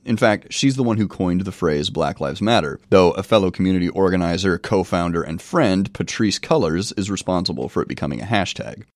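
A middle-aged man with a cold hosts a solo podcast, wry and self-deprecating. His pitch rises and falls a lot as he explains. The sound is close and dry, with no room around it. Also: no echo. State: has a cold. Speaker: podcast host and professor. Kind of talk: solo review podcast monologue.

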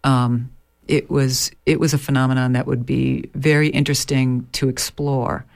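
A woman's voice: extremely low pitch, level tone, normal speed, gravelly, a little mellow. A woman midwife and author talking in an interview, reflective and hesitant, often restarting her sentences. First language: English. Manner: reflective, many restarts and fillers. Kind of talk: interview conversation.

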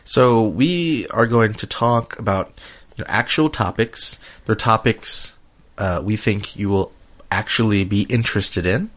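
A middle-aged American man hosting a casual conversational podcast, talking in a hesitant, halting way. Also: lowish voice, a bit bright. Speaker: podcast host.

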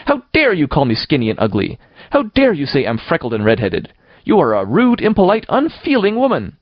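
A man's voice: fairly high pitch, wildly swooping pitch, fairly quick, dry recording, highs cut off, voice pushed up. A man voicing a passionate young orphan girl in an audiobook, indignant and vehement. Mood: furious, hurt. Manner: vehement, indignant, emphatic repetition. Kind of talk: audiobook character voice.

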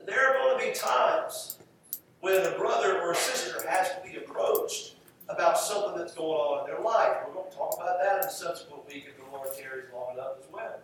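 An American man preaching a sermon in an emphatic style, with rhetorical repetition and deliberate pauses. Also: on the high side, lively intonation, a bit bright. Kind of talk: sermon to a congregation. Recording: echoey, distant mic, faint background noise.

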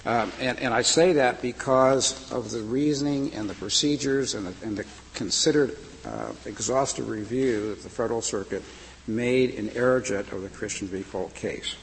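A man with a soft voice arguing politely and carefully as a lawyer before a high court. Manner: polite, deferential, measured. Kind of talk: formal courtroom argument. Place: large courtroom. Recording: reverberant archival courtroom recording with faint noise.

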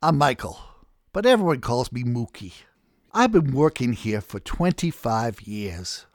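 A man voicing a friendly blue-collar worker in his sixties with a Boston accent, talking slowly and methodically in an audiobook. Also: mid-range voice, very animated, dry recording, studio quality. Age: 60s. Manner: slow, methodical, folksy.

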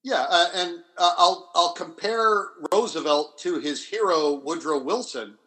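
Higher-voiced man, halting academic explainer. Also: pushed and bright. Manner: deliberate explaining with halting restarts and repeated phrases. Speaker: history scholar and panelist. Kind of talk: academic discussion, off the cuff.

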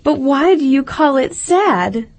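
An earnest little girl from a storybook, polite and curious. The voice is high and swings freely up and down, eager and easily flustered. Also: lively intonation, takes it slowly.

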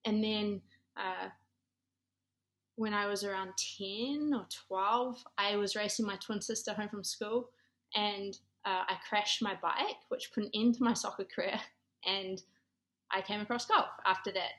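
A woman's voice: medium pitch, a bit bright. A woman in her thirties with a New Zealand accent, talking conversationally as an interview guest and telling stories. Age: 30s. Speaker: podcast guest, former college golfer and ministry director.

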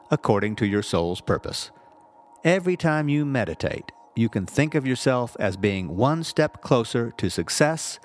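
A man reading a scripted spiritual text aloud in a measured, deliberate, instructive way. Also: medium pitch, slight background noise. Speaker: audiobook-style reader, reverend.

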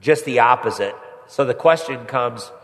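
A pastor preaching a sermon with a stuffy head cold, climbing higher and louder when he presses a point. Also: normal speed. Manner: rises in pitch and volume when pressing a point. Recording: long hall reverb.

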